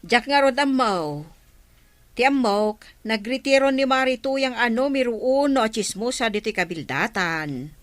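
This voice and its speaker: A woman's voice: a little high, lively intonation, a little slow, dry recording. A woman acting a businesslike village councillor in an Ilocano comedy drama, with an insistent, questioning manner and an Ilocano accent. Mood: probing.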